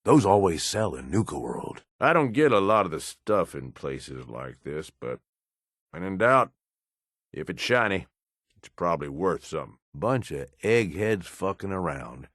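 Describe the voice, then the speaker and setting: An actor voicing a gruff, cynical middle-aged American raider lieutenant in a video game, blunt and profane with a folksy, slangy drawl. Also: male voice, deep voice, sing-song, unhurried, fairly bright tone, no echo, studio quality, full character voice. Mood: wary, matter-of-fact. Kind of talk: video game companion dialogue.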